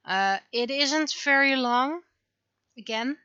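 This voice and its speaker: A woman's voice: high voice, slow, bright, forceful tone, clean tone, dry recording, muffled recording. A woman giving casual livestream commentary as she shows her own creative work. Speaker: livestreamer, webcomic and visual novel creator.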